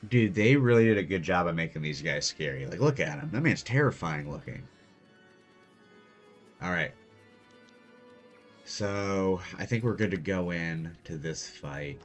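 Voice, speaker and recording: A soft-spoken young man casually commenting on his gameplay as host. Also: low-pitched, animated, unhurried, pauses often, soft background music. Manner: quiet, soft-spoken, laid-back. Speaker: gaming channel host.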